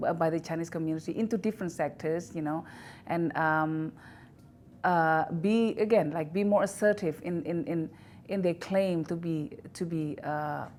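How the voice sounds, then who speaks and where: A woman from Indonesia with an Indonesian accent, answering interview questions in a halting way with many fillers and restarts. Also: lowish voice, a little mellow, slight background noise. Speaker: interview guest, institute director. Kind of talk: recorded news interview.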